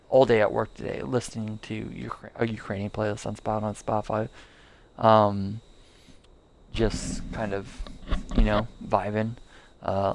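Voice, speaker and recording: A young man chatting over his gameplay in a loose, rambling way, full of fillers and restarts. His voice has a gravelly edge but stays smooth and clear underneath. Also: on the low side. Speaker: streamer. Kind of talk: casual live gameplay commentary.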